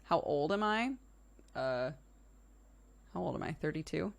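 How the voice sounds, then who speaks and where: Low-pitched woman chatting casually. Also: lively intonation, measured pace, creaky. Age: early 30s. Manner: informal, conversational, laughs easily. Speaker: livestream host. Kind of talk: casual chat with live viewers.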